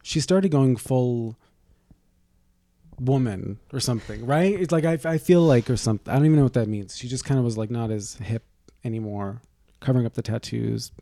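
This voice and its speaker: A man's voice: mid-range voice, normal speed, gravelly, warm tone, no room sound. A man co-hosting a podcast, chatting casually in a teasing, rambling way with lots of restarts. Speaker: podcast co-host. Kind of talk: casual gossipy chat.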